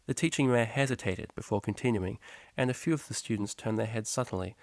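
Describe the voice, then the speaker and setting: A man's voice: on the low side, a little fast, dry recording. A man reading fiction aloud as a scripted story narration. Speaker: story reader.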